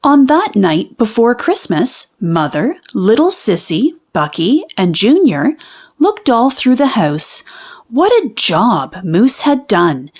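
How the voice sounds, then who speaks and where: A woman reading a children's storybook aloud in an expressive, deliberate way with dramatic pauses. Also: fairly high pitch, breathy edge. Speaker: children's book reader. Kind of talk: animated storybook read-aloud.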